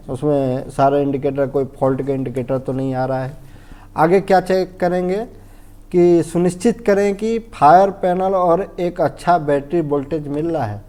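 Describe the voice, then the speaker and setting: An Indian man with a mellow, soft-edged voice pitched a little high teaches a technical lesson. He explains step by step in an informal, didactic way, repeats key phrases and keeps checking that the listener follows. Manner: didactic, repetitive, often checks understanding and asks himself questions. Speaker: fire safety instructor.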